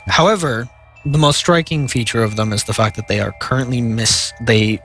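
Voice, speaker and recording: A young man with a nasal voice, narrating a tabletop game as game master, halting and filling pauses with "uh" and "like". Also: medium pitch, fairly bright tone, faint music behind. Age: young adult.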